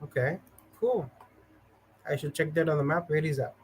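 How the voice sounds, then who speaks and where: A man's voice: on the high side, normal speed, a little hiss or hum. A man hosting a casual livestream, chatting with viewers in a rambling, hesitant way full of fillers, and telling stories. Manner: storytelling. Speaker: livestream host. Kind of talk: casual livestream chat, reading viewer comments.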